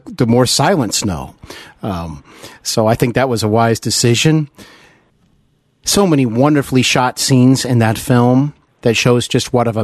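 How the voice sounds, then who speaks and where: A man's voice: mid-range voice, breathy edge, no echo, clean studio sound. A man hosting a conversational interview, speaking in an enthusiastic, emphatic way with frequent tag questions. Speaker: interviewer, show host. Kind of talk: conversational radio-style interview.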